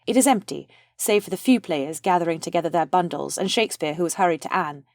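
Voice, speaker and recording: Lower-voiced woman narrator, dry close sound. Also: fast talker, a little mellow, dry recording. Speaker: narrator reading stage directions. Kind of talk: scripted narration of a play.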